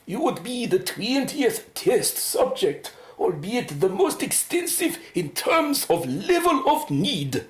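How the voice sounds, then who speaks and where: A proud, excitable medical scientist in a radio play, presenting her experimental cure. She speaks slowly and formally, with sweeping, animated intonation, in a roomy, echoing space. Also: a little high. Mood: proud, assured. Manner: formal, showy, precise. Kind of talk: radio drama acting.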